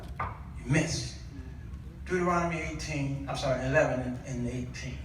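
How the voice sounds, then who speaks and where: A man's voice: mid-range voice, normal speed. A man preaching a church sermon, moving between conversational storytelling and emphatic exclamation. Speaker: guest preacher. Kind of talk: animated church sermon with call and response. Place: indoors at a church. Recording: echoey church hall, distant mic, faint noise.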